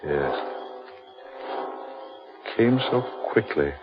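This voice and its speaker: Deep, grim, fatalistic radio-horror man. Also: sing-song, exaggerated voice. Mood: brooding, ominous. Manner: ominous, brooding, deliberate. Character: grim, fatalistic man lost in a haunted forest. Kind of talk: old-time radio horror acting. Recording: muffled old radio recording with music.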